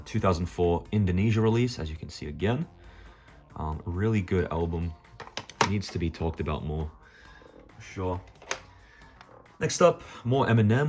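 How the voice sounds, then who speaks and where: A man with an Australian accent talking casually and off the cuff to viewers as he presents a collection, rambling a little with hesitant asides. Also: low voice. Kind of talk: casual unscripted show-and-tell to viewers.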